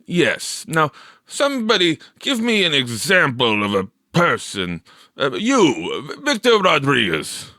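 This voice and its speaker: A portly schoolmaster acted by a male reader from a storybook. He sounds brisk and instructive, projecting to a classroom in a raised pitch, with a dry recording. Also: huge pitch swings, bright, forceful tone, dry recording, exaggerated voice. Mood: quizzing. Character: portly male middle-school English teacher quizzing class.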